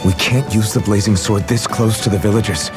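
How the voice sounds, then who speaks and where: Resolute young swordsman voiced by a man, even mid voice, loud music bed. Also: on the low side, brisk, lightly acted. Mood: earnest. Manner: earnest and resolute. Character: determined young male swordsman hero. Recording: game audio over loud music.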